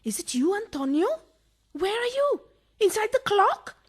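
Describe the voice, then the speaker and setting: Woman doing a shrill excitable wife in a radio farce, super high voice, really swoopy. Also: unhurried, heavily acted. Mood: astonished. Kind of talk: farcical radio play acting.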